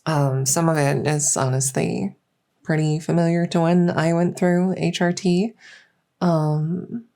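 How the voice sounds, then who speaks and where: A woman playing a gentle, reassuring doctor in a soft-spoken medical roleplay, speaking hesitantly with frequent pauses. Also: low voice, fairly even intonation. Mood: attentive, calm. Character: gentle, reassuring female doctor in a fantasy transition clinic. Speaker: roleplay audio performer.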